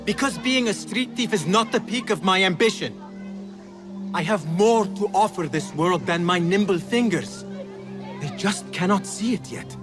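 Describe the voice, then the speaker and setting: A proud, ambitious young man who lives as a street thief. His voice is fairly high and very animated, eager and cocky, in game cutscene style with music behind. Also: moderate pace. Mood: defiant, proud. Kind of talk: video game drama acting.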